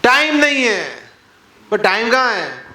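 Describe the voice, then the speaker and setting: A man's voice: very high voice, very animated, slow pace, pushed and bright, reverberant. A man leading an interactive spiritual class before a live audience, firing rhetorical questions and mock quotes, with English words mixed into his talk. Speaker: devotional class speaker.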